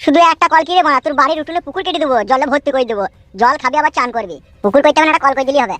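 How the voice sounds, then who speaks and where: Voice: high-pitched, very fast, fairly bright tone, no room sound. A performer with a female-sounding voice playing a brash, foul-mouthed cartoon villager who argues in crude slang. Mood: demanding, brash. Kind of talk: comic cartoon dialogue.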